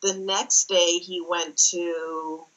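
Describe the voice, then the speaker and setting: A young woman from the Netherlands speaking thoughtfully as an interview guest, with frequent pauses and restarts. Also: lowish voice, little pitch movement, a bit bright, rough recording. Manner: thoughtful, many fillers and restarts. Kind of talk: casual interview talk.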